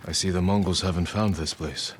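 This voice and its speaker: An actor playing an earnest, brooding, loyal samurai warrior in a game cutscene, with a restrained, serious delivery. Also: male voice, sits low, steady tone, brisk, a little hiss or hum, natural voice. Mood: guarded. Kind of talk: dramatic game cutscene acting.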